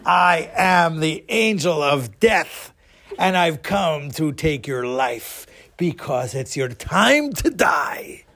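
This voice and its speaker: A man voicing a girl who poses as a menacing angel of death, speaking slowly and commandingly in a told story. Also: on the high side, lively intonation, a bit bright, exaggerated voice. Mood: ominous threat. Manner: slow, drawn-out, commanding threats. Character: fake angel of death, a vengeful girl in ghostly disguise, menacing.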